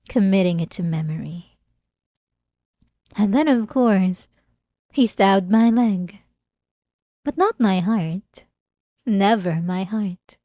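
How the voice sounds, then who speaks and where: A woman voicing an obsessive, possessive centuries-old vampire in an ASMR roleplay, with soft, teasing, intimate delivery. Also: medium pitch, no echo, clean studio sound, close to an everyday voice. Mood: nostalgic, mocking. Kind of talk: ASMR roleplay audio drama.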